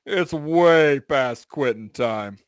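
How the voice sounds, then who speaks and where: Man acting macho biker, gruff, booming, drawn-out words, laid-back, cartoonish, dry recording. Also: animated, dry recording. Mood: casual, commanding.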